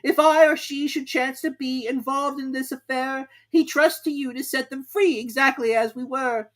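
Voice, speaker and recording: Jittery storybook rabbit herald, high, deferential, flustered, done by a woman. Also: steady tone, moderate pace, lightly acted. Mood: reciting. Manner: respectful, flustered. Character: nervous, hurried male rabbit herald.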